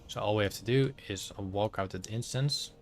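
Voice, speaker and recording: A man with a Dutch accent narrates his gameplay at a relaxed pace, often pausing to search for words. His voice is low. A faint hiss sits behind it. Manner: halting, many fillers, searches for words. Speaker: streamer. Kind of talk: casual gameplay commentary.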